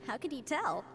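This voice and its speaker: A woman voicing an earnest, analytical young scholar in a video game, speaking in a formal, measured, thoughtful way. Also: high-pitched, heavily acted. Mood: modest, friendly.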